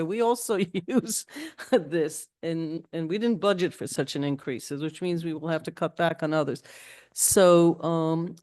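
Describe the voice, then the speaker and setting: A woman's voice: deep voice, darkish tone. A woman speaking at a village board meeting, starting hesitantly and growing indignant and emphatic, while losing her voice. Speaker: village official presenting a resolution. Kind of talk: public meeting remarks.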